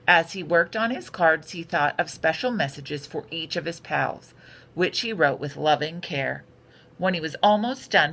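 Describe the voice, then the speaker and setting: A woman reading a picture book aloud for children in an expressive, unhurried storytelling manner. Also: on the low side. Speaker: storytime reader. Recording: noticeable background noise.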